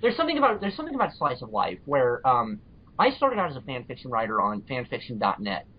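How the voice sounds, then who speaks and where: A man with a mid-pitched voice chats casually as a guest. He gives blunt opinions, often halting and repeating himself. The sound is dull and lo-fi. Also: wildly swooping pitch, slightly rough. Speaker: podcast guest, fan fiction reviewer. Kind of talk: casual chat. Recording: dull lo-fi voice recording.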